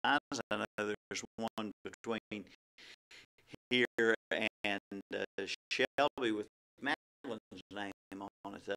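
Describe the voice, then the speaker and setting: A man's voice: fairly low pitch, slow pace, bright, forceful tone, rough recording. A middle-aged man hosting a local community talk show, asking a guest questions in a hesitant manner full of restarts. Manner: gravelly, hesitant, stumbling restarts. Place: small office meeting room, indoors. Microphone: table microphone, far camera.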